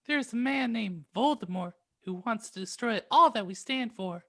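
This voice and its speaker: A woman's voice: a little high, animated, moderate pace, a bit bright. A woman reading an earnest, worried schoolgirl. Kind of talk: amateur fan fiction reading.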